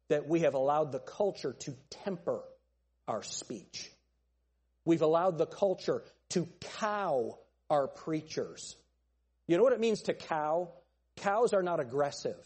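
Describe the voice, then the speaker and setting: A male pastor preaching a fiery sermon with a thin, nasal voice, pressing his points with forceful, repeated phrases. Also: a little high, slightly rough, big-room sound. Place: church sanctuary.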